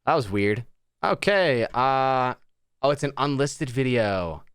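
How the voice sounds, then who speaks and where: A man's voice: mid-range voice, animated, takes it slowly, fairly bright tone, clear, resonant tone, dry recording, clean studio sound. A man hosting a livestream with casual, profane reaction commentary, thinking aloud informally. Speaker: livestream host.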